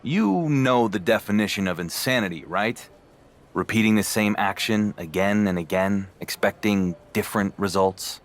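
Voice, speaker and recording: An actor playing a calmly defiant male wrestling champion in a game cutscene, speaking in a smug, deliberate way. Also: lowish voice, close to an everyday voice. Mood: cool defiance. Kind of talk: scripted wrestling drama cutscene.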